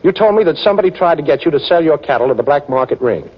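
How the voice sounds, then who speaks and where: An actor playing a wisecracking, unflappable adventurer hero in a 1940s radio detective drama. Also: male voice, fairly high pitch, lively intonation, racing. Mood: earnest, brisk. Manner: breezy, sardonic quips under threat. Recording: muffled 1940s radio recording.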